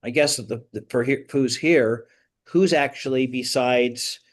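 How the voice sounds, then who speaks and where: A man moderating an online group discussion as a coach, talking conversationally and often repeating words in a halting way. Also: medium pitch. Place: online video call.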